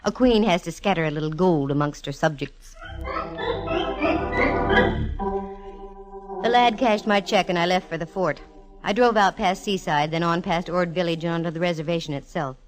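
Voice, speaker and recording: A low-pitched actress playing a female private eye from golden-age radio, breezy and dryly funny. She is self-assured and wisecracking, with music under the old recording. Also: brisk, lightly acted, low-quality recording. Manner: wry, breezy, dryly humorous. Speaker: lead actress narrating a detective serial. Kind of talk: 1940s radio detective acting and narration.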